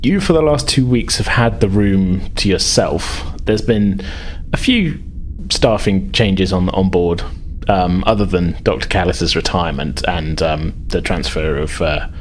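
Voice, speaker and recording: A man with a very low voice narrates a tabletop role-playing game. He feels his way through scenes with hesitant phrasing as game master. There is faint noise behind him. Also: a little slow.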